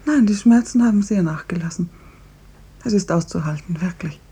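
An actress in a 1950s radio drama playing a suffering, bravely resigned dying patient, with a breathy, often half-whispered voice. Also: female voice, lowish voice, normal speed, warm tone. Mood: weak, tender, resigned.

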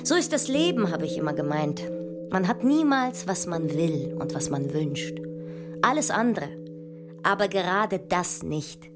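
A woman's voice: fairly low pitch, very animated, a little slow. An actress playing a pensive, questioning woman mathematician in a poetic, lyrical audio drama. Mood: wistful. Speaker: performer in an experimental audio drama. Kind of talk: poetic theatrical dialogue with musical repetition. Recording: voice under loud music.

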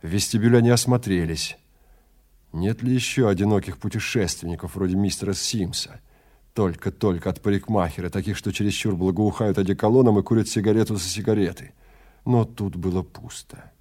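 A man's voice: on the low side, a little fast, mellow. A man narrating fiction in a dramatic literary reading, as the storyteller of an audio drama.

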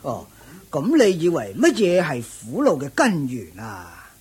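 A slow, husky, dogmatic ascetic master. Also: male voice, a little high, wildly swooping pitch, a little mellow, heavily acted. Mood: complacent. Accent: Hong Kong Cantonese. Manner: drawn-out, hemming and sighing. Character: senior ascetic master, dogmatic, complacent old Taoist-style teacher. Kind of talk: Buddhist radio drama acting.

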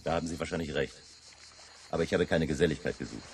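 An actor playing a reserved, suspicious German hotel guest who speaks curtly and dryly in a radio drama. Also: male voice, very deep voice, steady tone, fast, slight background noise. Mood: guarded, curt. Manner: curt, dry, guarded.

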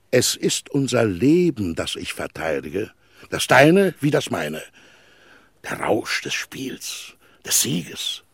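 A man's voice: mid-range voice, gravelly, husky, no echo, clean studio sound, exaggerated voice. A man acting in a German radio play as a vain, powerful tycoon, pompous and urgent as he argues his case. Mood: confiding, intense. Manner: pompous, urgent, persuasive, swinging between boasting and confiding.

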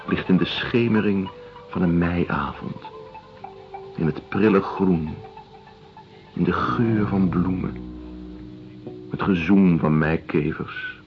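A deep-voiced, wistful researcher in a radio drama. He speaks slowly and reflectively, weary and sceptical, with music behind him on a dull recording. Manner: measured, pausing between short reflective phrases. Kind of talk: radio drama acting, lyrical and slow.

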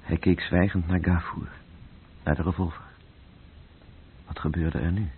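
An actor playing a sombre, detached storyteller, narrating a radio play in a very husky literary voice. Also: male voice, low voice, steady tone, a little slow, a little hiss or hum. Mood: tense, restrained. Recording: muffled, phone-like old radio recording.

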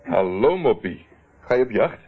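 A shrewd investigator in a radio drama, with a very low voice and dry, probing delivery. He teases and presses in a controlled way. The sound is narrow and muffled like an old radio tape. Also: very animated, normal speed, faint music behind. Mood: teasing. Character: shrewd, teasing male investigator, calmly pressing. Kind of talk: radio play acting.

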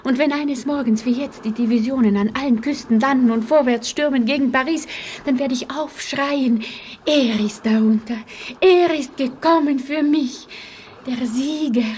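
A woman's voice: sits high, normal speed, a little mellow, lightly acted. A woman playing a passionate, noble French patriot in a German radio play, with fervent, solemn, theatrical delivery. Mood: ardent devotion. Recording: noisy old radio play recording.

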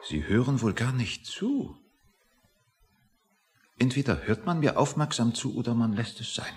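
A man's voice: medium pitch, lively intonation, a little slow, close to an everyday voice. An actor playing a grumpy, self-satisfied, pedantic man in a satirical radio play. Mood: peevish. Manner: pedantic, lecturing, easily offended. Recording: reverberant hall-like room.

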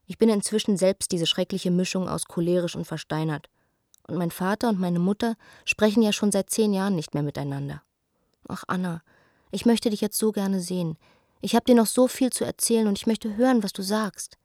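Radio play: actress as young female letter-writer, anguished, reflective, intimate, mid-range pitch, dry studio sound. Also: a little fast, darkish tone, no room sound, natural voice. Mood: bitter, then tender longing. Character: Eva, young woman writing an anguished letter to a friend. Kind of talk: radio drama letter reading.

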